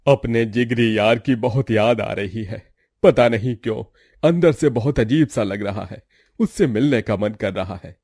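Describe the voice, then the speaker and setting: Older father, acted by a man, very slow and mellow, heavy-hearted, dry cartoon recording. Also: lowish voice, husky, no echo. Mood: wistful. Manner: slow, sombre. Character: older father, heavy-hearted businessman missing his old friend.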